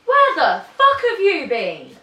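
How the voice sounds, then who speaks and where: A woman's voice: extremely high pitch, huge pitch swings, slow, sharp tone, echoey room, pitched above the performer's own voice, full character voice, low-quality recording. A woman playing a breezy, wicked young witch in an amateur comedy skit, theatrical, slangy and casually haughty. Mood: breezy, mischievous.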